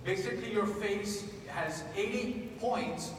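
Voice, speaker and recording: A man delivers an energetic, conversational keynote in a large conference room, restarting his sentences often. His voice sits a bit high for a man. It carries from a distance through a long, echoing hall reverb over steady background noise. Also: slow. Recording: echoey hall from far off, noisy.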